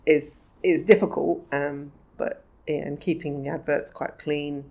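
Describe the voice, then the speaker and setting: Gravelly-voiced chatty woman. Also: low-pitched, steady tone, measured pace, dark tone. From England. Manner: chatty, laughs often, trails off in restarts. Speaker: magazine publisher, guest. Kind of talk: casual interview chat.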